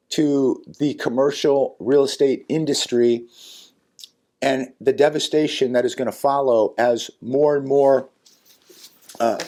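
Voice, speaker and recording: Raspy-voiced man giving earnest warnings. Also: mid-range voice, mellow. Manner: earnest, cautionary, with frequent fillers and repeated words. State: hoarse, raspy voice from sinus infection. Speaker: channel host and economy commentator. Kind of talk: solo commentary vlog.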